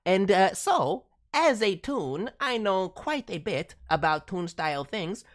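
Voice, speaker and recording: An excitable cartoon raccoon with a high voice that swoops up and down theatrically. He takes his time over each phrase and playfully mangles words as he hosts a livestream, every bit the reformed toon villain. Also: takes it slowly. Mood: chatty, earnest. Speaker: host, voice director reviewing demo reels. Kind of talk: livestream hosting in character as a cartoon VTuber.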